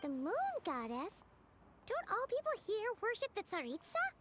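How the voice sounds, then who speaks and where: A woman voicing a small, cheerful, boastful childlike fairy sidekick in a video game, with a squeaky voice and bubbly manner. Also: wildly swooping pitch, normal speed, background noise, heavily acted. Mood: puzzled, curious. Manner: bubbly, refers to self in third person. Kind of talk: video game character dialogue. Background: game music.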